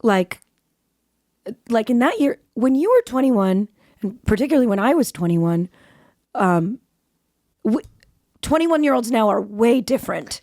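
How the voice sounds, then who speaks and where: A woman's voice: fairly high pitch, lively intonation, measured pace, pauses often, a little mellow, no echo. Woman host, scratchy from a cold, rambly casual chat, dry close sound. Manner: chatty, rambling, with many fillers and restarts. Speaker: podcast host.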